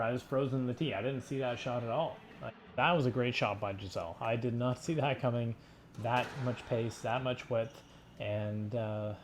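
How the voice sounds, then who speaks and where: A man's voice: mid-range voice, darkish tone. Male squash coach over noisy court ambience. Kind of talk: instructional sports commentary over drill footage.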